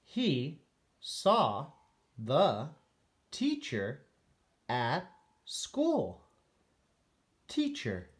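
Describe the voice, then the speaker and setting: A man, a first grade teacher, reading aloud for beginning readers in a deliberate way, separating each word. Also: mid-range voice, wildly swooping pitch.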